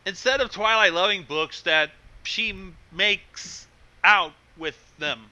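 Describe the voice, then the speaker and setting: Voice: medium pitch, takes it slowly, sharp tone, muffled recording. A commentator bantering and joking casually during a group story reading.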